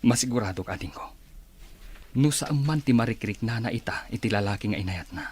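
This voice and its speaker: A man acting as a protective older brother in an audio drama, speaking in a low, hushed, reassuring way. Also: fairly quick, husky.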